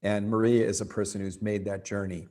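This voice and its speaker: A man's voice: on the low side, little pitch movement, dark tone. Earnest man hosting an interview. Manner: measured, earnest, with restarts. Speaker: host. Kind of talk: interview introduction.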